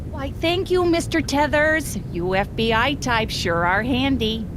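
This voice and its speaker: A small-town hotel keeper, talks with a Minnesotan accent and a bouncy, varied pitch. She is folksy and breezy, an animated game character over a clear rumble. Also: a little slow. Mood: chatty.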